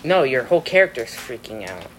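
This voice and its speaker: A man's voice: medium pitch, big pitch swings, fairly quick, low-quality recording. Rambling young man gaming with a friend. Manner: rambling, laughs often, trails off mid-sentence. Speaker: gamer chatting while playing. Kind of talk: casual chat over a game.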